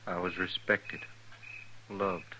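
A man's voice: sits low, level tone, slow pace, sharp tone, heavily acted. A man playing a weary, defiant army scout on the run in a 1950s radio western, terse and brooding, with rustic speech. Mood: wistful, remembering. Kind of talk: 1950s radio drama acting. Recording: noisy vintage 1950s radio broadcast recording.

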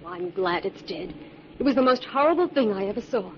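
Plucky radio heroine played by an actress, muffled recording. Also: female voice, medium pitch, lively intonation, a little fast, slight background noise, close to an everyday voice. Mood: grim. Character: plucky female assistant to a crime-fighter. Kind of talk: 1940s radio drama acting.

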